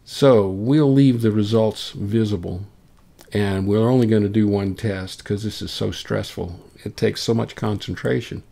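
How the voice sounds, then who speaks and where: A man presenting a casual software tutorial, thinking aloud informally as he demonstrates. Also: on the low side, a little slow, creaky. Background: solo acoustic guitar clips playing.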